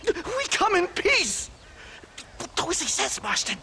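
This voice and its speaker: High-pitched, jittery pompous professor. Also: male voice, huge pitch swings, a little slow, vocal fry, noisy background, exaggerated voice. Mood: alarmed, nervous. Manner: stammering, florid, fussy. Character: jittery pompous male Yale professor, flustered and theatrical. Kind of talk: video game western drama acting.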